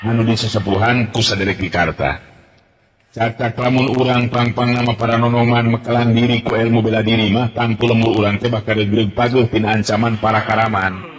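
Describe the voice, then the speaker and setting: A man's voice: fairly low pitch, fairly even intonation, fairly quick, voice pushed up, close to an everyday voice. A male storyteller voicing an older village head who gives a formal speech to the villagers, on an old, echoey, hissy radio recording. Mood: solemn.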